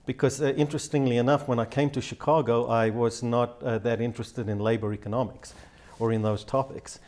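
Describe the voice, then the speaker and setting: A man answers questions on a studio talk show. He speaks in a measured, thoughtful manner, his voice at an ordinary pitch, close to a lapel mic. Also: fairly even intonation, a little mellow. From South Africa. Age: 60s. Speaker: guest economist. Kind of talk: studio interview. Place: TV studio set, seated in armchair.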